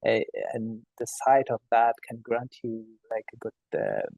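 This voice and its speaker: Gravelly man. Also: medium pitch, wildly swooping pitch, a little slow, darkish tone, no room sound, poor audio. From Afghanistan. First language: Farsi. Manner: hesitant, many fillers and restarts. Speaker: children's author. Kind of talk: panel discussion.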